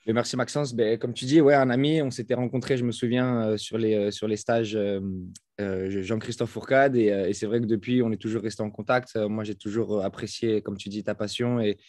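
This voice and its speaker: A French man who just turned thirty, guest on a podcast, speaking in a halting way full of fillers and restarts. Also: fairly low pitch, fairly even intonation, darkish tone, no echo, clean studio sound. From France. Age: 30s. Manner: hesitant, many fillers and restarts. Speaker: guest, fitness coach. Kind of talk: casual interview talk. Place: home in Florida, over a call.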